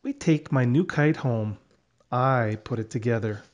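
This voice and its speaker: A man narrating a children's storybook aloud in an expressive, deliberate way. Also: medium pitch, lo-fi sound.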